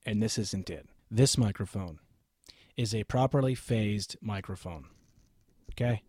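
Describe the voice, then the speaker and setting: A man's voice: medium pitch, darkish tone, no room sound, clean studio sound. Emphatic man lecturing about microphones. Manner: emphatic, lecturing, self-assured. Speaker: microphone reviewer. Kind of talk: opinionated gear review to camera. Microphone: studio microphone, switched during the video.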